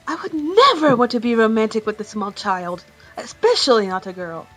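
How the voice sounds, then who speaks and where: A woman's voice: fairly high pitch, expressive, moderate pace, fairly bright tone, poor audio. A stern, composed cat heroine with a short temper, played by a woman. She answers in a controlled, curt manner as a fiery cartoon character in a fan question show. Music runs in the recording. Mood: offended. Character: female cat, older, stern, easily irritated, fiery.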